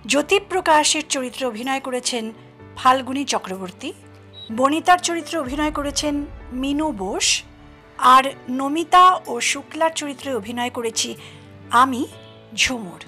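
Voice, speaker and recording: A woman's voice: high voice, lively intonation, unhurried, long pauses, sharp tone. A woman presenting an audio drama with a scripted introduction addressed to listeners. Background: music.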